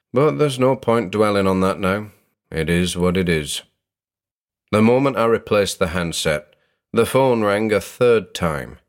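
Male, low register, measured dramatic narrator for scripted horror fiction. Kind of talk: scripted horror audiobook narration in the first person.

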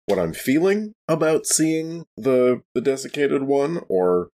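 A man's voice: medium pitch, big pitch swings, measured pace, mellow. A man playing a tabletop game, talking through his options and checking the rules aloud. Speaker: player. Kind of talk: tabletop roleplay chat.

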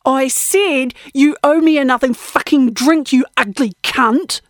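A woman's voice: on the high side, a little slow, darkish tone, dry recording, studio quality, lightly acted. A woman voicing a coarse, aggressive, jeering female bar bully in an audiobook. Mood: furious. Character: belligerent, drunk, sneering female bar bully.

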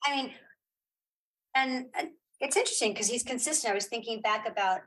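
A middle-aged woman chatting casually as an interview guest, giving opinions in halting, repeated phrases. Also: fairly high pitch, fairly bright tone, breathy edge, rough recording. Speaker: guest, playwright and audio drama creator.

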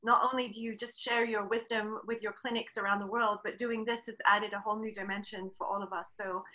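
Bright middle-aged Englishwoman chatting. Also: mid-range voice, little pitch movement, fairly quick, rough recording. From England. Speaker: webinar guest, riding clinician and horse trainer. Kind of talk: casual interview, telling own career story.

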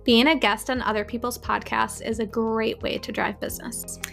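A woman co-hosting a podcast speaks in a fairly high voice with plenty of gravelly vocal fry. Her delivery of short business tips is upbeat and direct. Faint music sits underneath. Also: flat delivery, moderate pace, a bit bright. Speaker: podcast co-host. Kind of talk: rapid-fire podcast tips.

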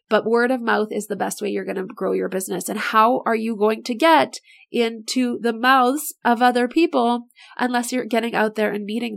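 A middle-aged woman talks through her solo podcast with a bright, motivational enthusiasm. Her voice sits a little high and carries a gravelly fry, and it sounds a touch raspy and worn from a long day of talking. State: voice getting raspy, not feeling great. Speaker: podcast host and business owner. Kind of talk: casual solo podcast talk.

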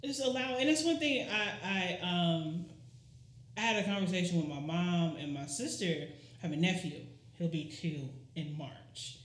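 A woman's voice: on the low side, moderate pace. A woman co-hosting a podcast in casual chat, emphatic and repeating her key phrases. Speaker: podcast co-host. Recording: roomy echo.

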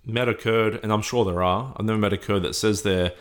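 Interview guest, man, low voice, patient and earnest, explains step by step. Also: steady tone, brisk. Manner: explains patiently, often checks with "right?".

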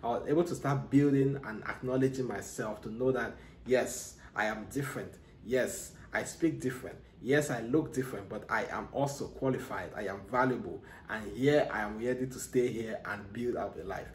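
A man's voice: mid-range voice, moderate pace, roomy sound, slight background noise. A man from Cameroon hosting a podcast, speaking earnestly and motivationally with frequent restarts. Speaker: podcast host. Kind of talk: solo podcast monologue.

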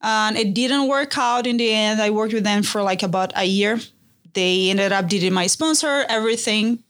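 A woman's voice: mid-range voice, normal speed, a bit bright. A woman in her thirties from Brazil with a Brazilian accent, a podcast guest chatting in a studio. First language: Portuguese. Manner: friendly, chatty, simple phrasing. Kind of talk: casual interview.

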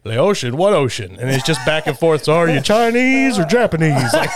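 A podcast host in casual chat, excitable and laughing as he retells a clip. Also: on the high side, normal speed.

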